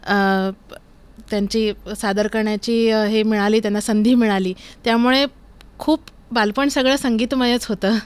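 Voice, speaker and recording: A woman's voice: a little high, fairly even intonation, a little slow, smooth. A woman from Maharashtra, a singer, giving conversational answers as a guest on a studio podcast. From Maharashtra, India. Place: recording studio.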